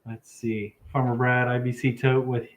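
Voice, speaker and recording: A man's voice: mid-range voice, little pitch movement, normal speed, highs cut off. An American man with an easygoing manner, chatting casually and reading viewer comments aloud as a livestream co-host. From Ohio, United States.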